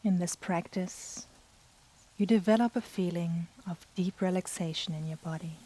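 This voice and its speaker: A woman guiding a yoga nidra meditation in a soft, breathy, soothing manner with long pauses. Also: lowish voice. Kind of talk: guided meditation.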